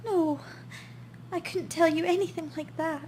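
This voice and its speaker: A woman's voice: very high voice, fairly even intonation, unhurried, darkish tone, a little hiss or hum. An actress playing a bereaved, polite and hesitant woman client in a noir radio play. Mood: sad, grateful.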